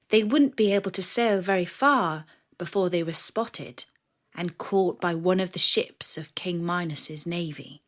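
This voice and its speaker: A woman reading a scripted story for children in a measured, expressive storyteller's manner. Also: mid-range voice. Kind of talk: scripted narration of a myth for children.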